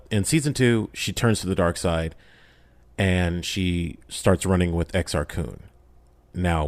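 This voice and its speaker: A man's voice: deep voice, dry recording. A man speaking casually as a podcast guest, recapping a story in an informal, slangy way with dramatic emphasis.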